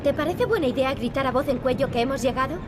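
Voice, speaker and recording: An actress playing a solemn, sharp-tongued young heroine in a video game. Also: female voice, sits high, quick pace, noisy background, close to an everyday voice. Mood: dry, reproachful. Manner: solemn, dry. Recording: game music behind the voice. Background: game music.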